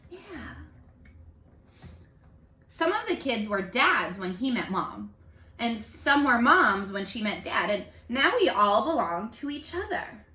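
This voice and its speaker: Female read-aloud teacher for young kids: highish, very animated delivery, expressive and instructive, addressing the children directly. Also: slight background noise. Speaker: teacher reading a picture book aloud. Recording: echoey room, distant microphone.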